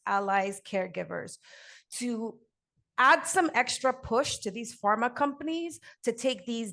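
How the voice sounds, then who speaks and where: A woman's voice: mid-range voice, vocal fry. A woman from Nigeria with an American accent learned over her Nigerian one, giving an earnest personal talk to a live audience. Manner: earnest, direct, addresses the audience warmly and at length. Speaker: conference speaker and podcast co-host.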